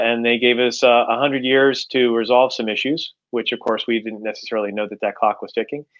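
A middle-aged man answering interview questions as an author, halting and repeating words as he explains, on a video call. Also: lowish voice, a bit bright. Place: indoors at home, room with bookshelves, on a video call. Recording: slightly dull video-call audio.

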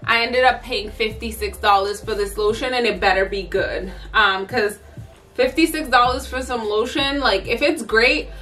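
A woman's voice: fairly high pitch, moderate pace, a bit bright, soft background music. A woman in her thirties chatting casually and tiredly to camera in a bedroom vlog, with lots of ums and likes. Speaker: vlogger. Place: bedroom at home.

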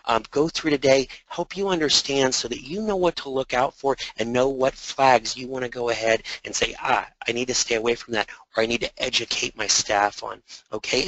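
A man presenting a compliance webinar in a conversational way, slightly hoarse from a sore throat, with many fillers and repeated words. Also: medium pitch, fairly bright tone, no echo, rough recording.

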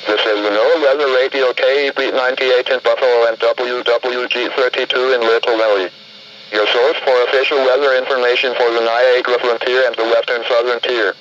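A man's voice: mid-range voice, steady tone, a little fast. A man reading a scripted station announcement over weather radio. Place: played through a handheld radio indoors. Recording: muffled, faintly noisy radio broadcast.

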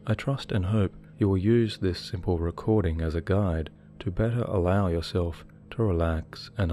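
Guy doing hypnosis meditation, deep dark mellow voice, soothing and measured, lots of deliberate pauses. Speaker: hypnotherapy guide. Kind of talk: guided meditation, hypnosis narration. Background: relaxation music.

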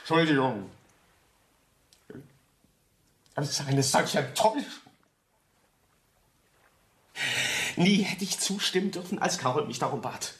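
A man's voice: fairly high pitch, lively intonation, fairly quick, slightly rough, full character voice. An actor playing an earnest, ambitious young architect in a radio drama, stammering and repeating words as he pleads. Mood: bitter, disappointed. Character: earnest, ambitious young male architect, excitable and easily hurt. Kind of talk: German radio drama acting.

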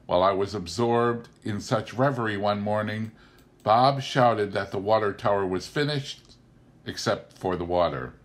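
Middle-aged male book narrator, slightly low register, unhurried scripted storytelling. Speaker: audiobook reader.

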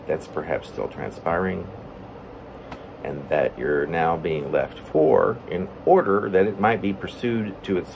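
Middle-aged man reading poetry, expressive pitch swings. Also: poor audio. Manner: halting, self-interrupting delivery. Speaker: poet reading own work. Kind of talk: informal poetry reading with asides. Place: plain room at home, indoors. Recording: steady room hum, air conditioning.

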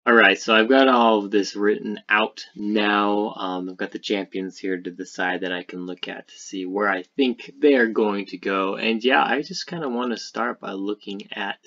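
A man with a fairly low voice talks through casual gaming commentary. His delivery is halting and hesitant, feeling its way along. The microphone sound is somewhat dull and muffled. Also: sharp tone. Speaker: gaming video creator. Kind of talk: casual card game prediction commentary. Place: voice-over recorded over screen capture.

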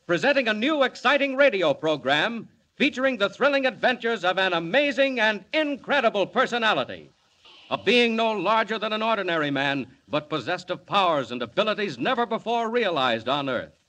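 A man's voice: high voice, big pitch swings, brisk, sharp tone. A man narrating in the grandiose, dramatic style of a 1940s radio serial announcer.

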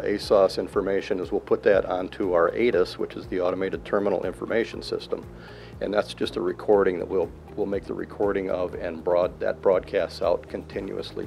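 A man in his fifties, an air traffic control manager, answering interview questions in a control tower in a plain, measured way with many uh's. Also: sits low, gravelly, darkish tone. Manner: plain, measured, fills pauses with uh and um. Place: control tower cab, indoors, large windows. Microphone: handheld mic held by interviewer.

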